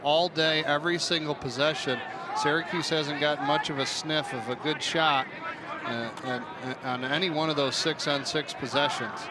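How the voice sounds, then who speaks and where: A man's voice: fairly high pitch, fairly even intonation, moderate pace. A man in his forties giving conversational color commentary at a sports game as a former player. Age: 40s. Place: indoor sports dome. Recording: loud arena crowd noise behind voice. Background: loud crowd and stadium ambience.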